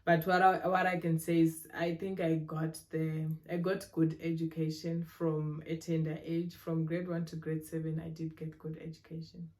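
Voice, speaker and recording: A woman in her twenties from Zimbabwe answers interview questions in a low, warm voice. Her pitch stays fairly even, and she talks in a thoughtful, measured way with frequent fillers. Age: 20s. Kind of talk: relaxed conversational interview answers.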